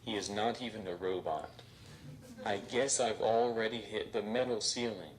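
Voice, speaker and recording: A man voicing a robot stand-up comedian who delivers deadpan jokes on stage. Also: lowish voice, moderate pace, close to an everyday voice, low-quality recording. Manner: deadpan, flat punchlines. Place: stage before a live audience.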